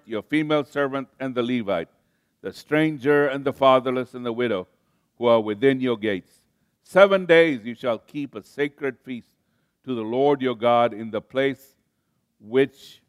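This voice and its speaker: An older man from Kenya delivers a sermon at an unhurried, deliberate pace. He restarts phrases and calls on the congregation to join in and respond. The sound is close and dry, with little room echo. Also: mid-range voice. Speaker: pastor.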